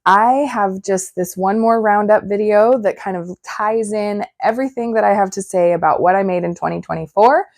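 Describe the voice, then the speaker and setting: A woman's voice: mid-range voice, normal speed, few pauses, darkish tone, dry recording. Slightly froggy-voiced woman, dry close sound. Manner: chatty and upbeat. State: hoarse, recovering voice. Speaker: crafting channel host. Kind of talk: casual vlog talk to camera.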